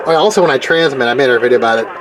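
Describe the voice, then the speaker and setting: A man's voice: medium pitch, rapid-fire, a crowd in the background. A man giving a casual hands-on explainer, informal and thinking aloud as he tinkers. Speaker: hobbyist video maker demonstrating car radio gear. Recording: clear background vehicle and ambient noise. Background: vehicle and ambient noise.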